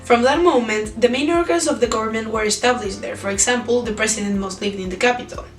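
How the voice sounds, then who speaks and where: A woman's voice: on the high side, fast. A young woman, in Spanish, reads her school presentation aloud in careful, formal English. Her voice rings in a roomy space with a long reverb tail, and faint music plays underneath. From Mexico. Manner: careful, formal reading with small restarts. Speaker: student presenter.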